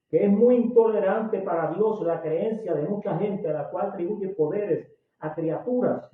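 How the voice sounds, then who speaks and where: A man in his sixties from Puerto Rico with a Puerto Rican accent, preaching a sermon emphatically, getting loud and slowing down on key words. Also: high voice. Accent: Puerto Rican Spanish. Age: 60s. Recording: tinny, echoey, distant recording.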